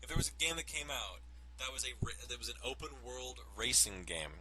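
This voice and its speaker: A man's voice: medium pitch, lively intonation, a little fast, rough recording. A man hosting a let's play, reading game text aloud and cracking crude jokes between lines. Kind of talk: casual gameplay commentary and reading aloud.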